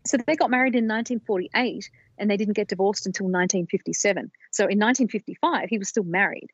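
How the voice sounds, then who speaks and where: A woman's voice: mid-range voice, fast talker. Woman explaining research, dull lo-fi sound. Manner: careful, explanatory, with restarts. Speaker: podcast co-host presenting research. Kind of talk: casual research chat. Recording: dull lo-fi dry recording.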